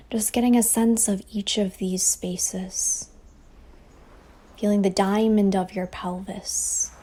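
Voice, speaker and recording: A woman leading a guided meditation as a teacher, speaking softly and breathily in a soothing way with long pauses, over ocean waves. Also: mid-range voice. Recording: faint ambient wave noise behind voice. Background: ocean waves sound effect.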